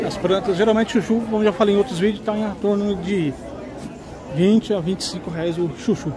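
A man's voice: high-pitched, fairly even intonation, a little fast. A man with a Brazilian accent giving casual walk-through commentary as a vlogger. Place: outdoor covered street market. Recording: busy street crowd behind the voice.

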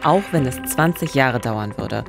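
A woman's voice: a bass voice, lively intonation, a little slow, creaky, music in the background. A woman in her thirties hosting a true-crime podcast, asking questions and reacting with interest into a studio microphone. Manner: engaged, asks questions, reacts with surprise. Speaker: podcast host. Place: small radio studio. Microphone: studio condenser mic, headphones.

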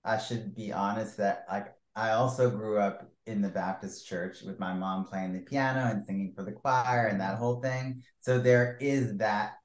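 Earnest low-voiced man, echoey room. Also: steady tone, moderate pace, few pauses. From Virginia. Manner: earnest and open, building on his partner's points. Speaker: musician guest. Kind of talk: casual interview. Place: indoor room with patterned wall. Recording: roomy echo.

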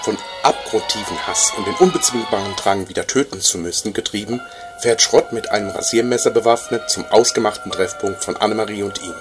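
A man narrates a crime drama in a fairly low voice. His delivery is ominous, with emphatic pauses, over very loud music.

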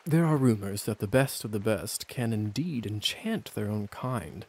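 A man's voice: on the low side, lively intonation, measured pace, a little mellow, husky, full character voice. An actor playing a suave, sly vampire officer who teases as he instructs, in video game style. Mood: sly instructing. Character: suave, sly male vampire hussar, teasing mentor.

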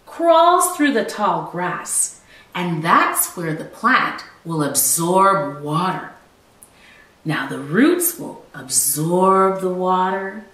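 Woman teacher doing storytime for little kids, really swoopy slow delivery, echoey room. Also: on the low side, far from the mic. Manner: expressive, exaggerated emphasis, teacherly. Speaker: children's teacher reading a storybook aloud. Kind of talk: storytime read-aloud with explanations for young children. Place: small living room at home, indoors. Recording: roomy echo, away from the mic.

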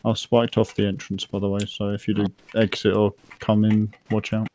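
A man with a fairly low voice gives improvised police reports in an online roleplay game. His voice chat has faint noise behind it. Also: completely flat delivery, brisk, dark tone.

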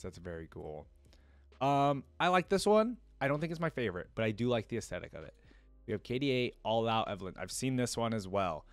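A man's voice: fairly low pitch, no room sound. A young adult man giving casual, off-the-cuff reaction commentary as a video host, thinking aloud in slangy speech.

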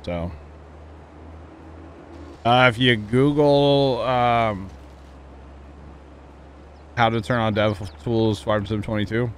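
A man's voice: mid-range voice, slow, long pauses, slight background noise. A man hosting a casual gaming livestream from home, chatty and laid-back, laughing often with a co-player. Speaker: livestream host. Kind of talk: casual gaming stream chat. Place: home streaming setup.

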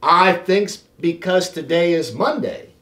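An earnest little pupil from a storybook, voiced by a man speaks with concern and questions. The pitch is lively and the sound is roomy. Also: slow pace, full character voice. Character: concerned young schoolchildren, boys and girls.

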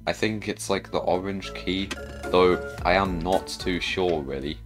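A man's voice: low voice, fairly even intonation, a little slow, music in the background. A man giving casual gameplay commentary, chatting and thinking aloud as he plays. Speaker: gamer narrating own play.

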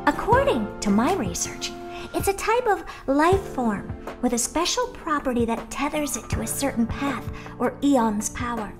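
A self-absorbed young genius researcher in a video game. Her voice is very high and swings animatedly as she talks down to everyone with flippant bluntness. Music plays behind her. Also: measured pace. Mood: aloof, matter-of-fact. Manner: flippant, condescending, theatrically expressive. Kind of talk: video game character dialogue.

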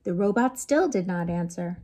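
An American woman in her forties hosting a read-aloud storytime for young children, in an animated, sing-song way with playful sound effects. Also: lowish voice, normal speed. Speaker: children's librarian, storytime host. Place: small lamp-lit room at home.

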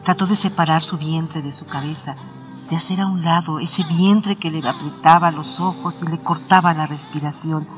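A man playing a somber village storyteller, radio drama narration, higher-pitched voice, music clearly behind. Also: lightly acted. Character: villager recounting a dead town's tragic past.

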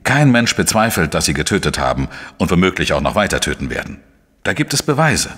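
A male actor playing a shrewd, dry investigative journalist who talks in a measured, explanatory way in a crime radio play. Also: sits low, very animated, fairly bright tone, breathy edge, big-room sound, heavily acted. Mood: measured reasoning. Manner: measured, explanatory, matter-of-fact. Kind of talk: German crime radio play, narration and dialogue.

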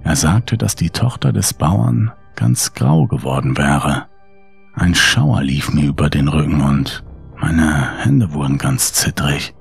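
A man's voice: extremely low pitch, takes it slowly, creaky, slightly rough, faint music behind. A man reading a scripted first-person horror story in an audiobook style.

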